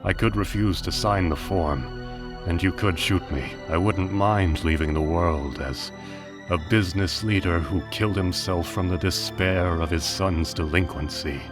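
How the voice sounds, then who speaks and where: An actor voicing a cold, detached middle-aged business magnate and father in an anime dub, speaking in a measured, formal, restrained way. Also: low voice, over music. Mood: resigned, controlled. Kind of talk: dramatic anime fan dub acting.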